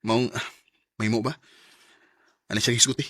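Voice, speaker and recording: A man's voice: mid-range voice, level tone, normal speed, heavy background noise, lightly acted. A man acting an earnest, determined young student who carries some resentment, in a radio drama with a Filipino Bisaya accent. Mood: bitter.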